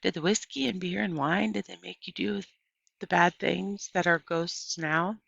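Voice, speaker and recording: A woman reader plays an earnest young storybook girl, full of candid questions. She speaks slowly and politely, a little hesitant and worried. The recording is dry and slightly dull. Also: lowish voice, steady tone, vocal fry, fairly bright tone, slightly rough, no room sound, heavily acted. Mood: curious, earnest.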